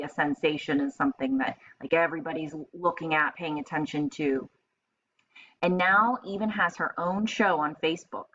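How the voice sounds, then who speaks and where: A woman reading aloud to children as a teacher, expressive and explanatory, in a slightly muffled recording with faint noise. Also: fairly low pitch.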